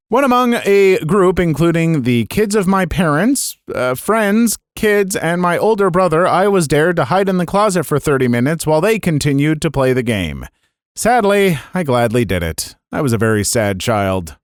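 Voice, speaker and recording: Male narrator reading viewer stories with his own asides, slightly high voice, lively delivery, close dry mic. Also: no echo. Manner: animated reading with commentary. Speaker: story narrator.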